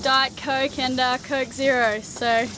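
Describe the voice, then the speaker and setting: Chatty woman, light and high. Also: little pitch movement, takes it slowly, noisy background. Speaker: vlog host. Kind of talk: casual travel vlog narration. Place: on a sailboat and beach outdoors. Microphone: action camera.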